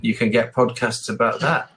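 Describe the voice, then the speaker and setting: A man's voice: mid-range voice, steady tone, moderate pace, a crowd in the background. A man with an English accent chatting casually and encouragingly as an online tutor, often repeating and rephrasing his advice over a video call. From England. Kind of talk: casual one-to-one lesson chat.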